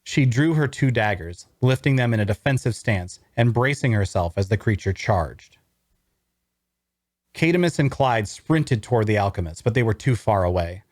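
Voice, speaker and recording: A man giving a theatrical, expressive first-person narration of a fantasy story at a table read. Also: lowish voice, moderate pace, no room sound, studio quality. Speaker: narrator, voice actor.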